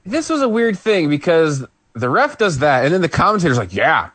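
A man's voice: a little high, big pitch swings, a little fast, a bit bright, no room sound. Rambling man recapping wrestling. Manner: rambling, full of fillers and restarts. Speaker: podcast co-host. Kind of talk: casual wrestling recap chat.

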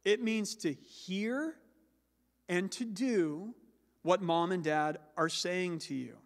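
High-voiced man preaching in a reverberant hall. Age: adult with children. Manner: emphatic, slows down to stress key words; loud, carrying voice. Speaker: pastor. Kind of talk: sermon.

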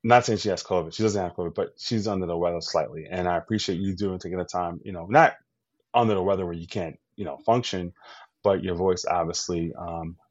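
A man's voice: sits low, fairly even intonation, a little fast, no echo. A man co-hosting a podcast in casual chat, enthusiastic and rambling, full of fillers and restarts, from the US East Coast. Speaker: podcast co-host. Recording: dull lo-fi dry sound.

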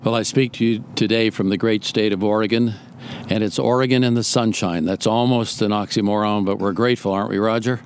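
A man's voice: fairly low pitch, fairly even intonation, fairly quick, faint music behind. A man interviewing a friend in a casual conversation, chatty, often jumping in with short reactions and prompts.

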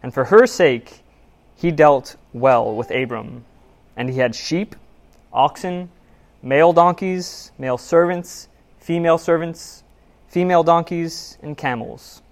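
A man preaching a sermon in a church, reading scripture aloud and then telling a story in an earnest, measured, conversational way. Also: fairly high pitch. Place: church sanctuary.

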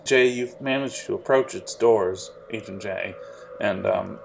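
A man narrating a tabletop role-playing game as game master, halting with fillers and restarts. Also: on the low side, vocal fry, a little hiss or hum.

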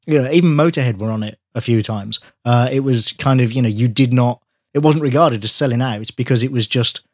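British male co-host, mid-pitched, reflective and hedging, dry unroomy recording. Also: a little fast, no echo. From United Kingdom. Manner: thoughtful, hedging with "you know" and "I mean". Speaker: podcast co-host. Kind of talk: casual chat.

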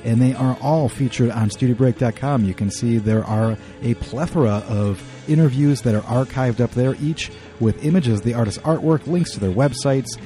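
A man's voice: mid-range voice, normal speed, hardly any pauses, dark tone, faint music behind. A man hosting a podcast in a conversational, announcer-like way. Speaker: podcast host.

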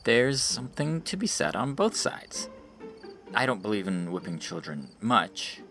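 A man voicing a diplomatic, hesitant young male teacher in an audiobook, speaking in a measured, hedging way. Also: on the low side, sing-song, bright, forceful tone, soft background music, heavily acted. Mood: torn, conciliatory. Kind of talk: audiobook character dialogue.

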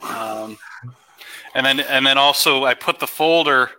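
A man who is a pastor and co-host, talking in a friendly but halting way with restarts. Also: mid-range voice, bright, forceful tone, low-quality recording. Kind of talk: casual chat.

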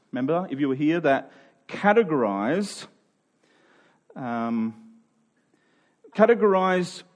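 A man's voice: on the high side, darkish tone. A man preaching in a conversational, expository style, earnest and informal, leaning on key phrases for emphasis.

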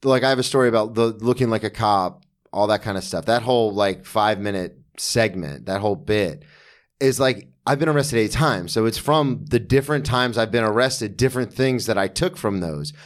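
A man's voice: fairly low pitch, normal speed. A man hosting a casual comedy podcast, talking in a blunt, conversational, storytelling way with some swearing.

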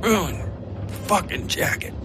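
A man's voice: high-pitched, animated, takes it slowly, over music, voice pushed up. Man voicing a mumbling, defiant, tough older woman. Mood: dazed, mocking. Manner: mumbled, slurred, contemptuous.

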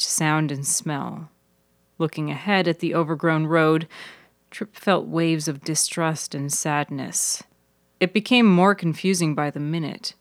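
A woman reading scripted literary narration in a measured, deliberate manner, as narrator of a serial fiction podcast. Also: low voice, no echo, studio quality.

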